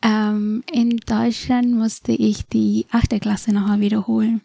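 A woman in her twenties from Nepal, whose first language is Nepali, tells her own story in a podcast studio. She speaks thoughtfully and hesitantly, with many pauses and fillers. Her voice is mellow and the sound is dry. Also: fairly high pitch, flat delivery, gravelly, dry recording. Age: 20s. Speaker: podcast guest. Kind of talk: personal story in an interview.

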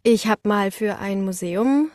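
A woman's voice: medium pitch, unhurried, a little mellow, dry recording, clean studio sound. A woman, a voice actress, chatting casually as an interview guest from a small home recording booth. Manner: informal, conversational. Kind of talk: casual chat, interview answers.

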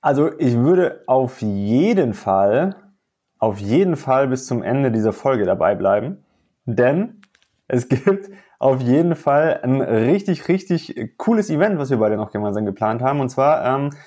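A man's voice: mid-range voice, expressive, measured pace, warm tone. A man with a slightly stuffy nose, a podcast guest explaining things with enthusiasm and emphatic repeats. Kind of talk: casual podcast chat.

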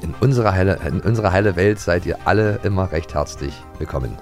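A man's voice: deep voice, quick pace, a little mellow, faint music behind. A man co-hosting a podcast in casual chat, with a wry, playful way of talking. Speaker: podcast co-host.

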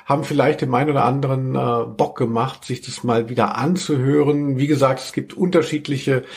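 A man's voice: medium pitch, fairly quick, creaky, a little mellow. A man hosting a podcast in casual, colloquial chat with a wry manner. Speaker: podcast host.

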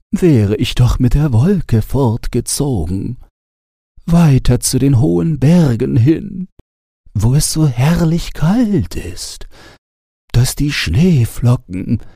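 Wistful storybook snowman, read by a man: plaintive, mellow, swooping gently in pitch. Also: husky, no room sound, studio quality, exaggerated voice. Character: wistful talking snowman telling of his travels.

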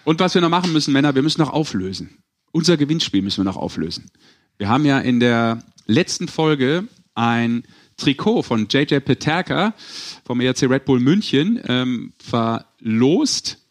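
A man's voice: mid-range voice, animated, normal speed. A man hosting a casual sports podcast, chatting and teasing among colleagues. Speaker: podcast host.

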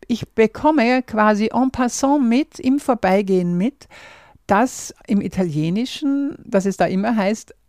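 A woman hosting a language-learning podcast, calmly walking the listener through a method step by step and speaking to them directly. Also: a little high, unhurried, no echo, studio quality. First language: German. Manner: calm and explanatory, walks through numbered steps.

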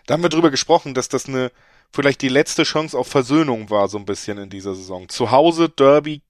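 Guy with a bit of a cold, kinda hoarse, rambling through football tips, dry close sound. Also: mid-range voice, a little fast, dry recording. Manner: rambling, self-correcting, emphatic. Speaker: betting podcast co-host. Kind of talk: casual football analysis chat.

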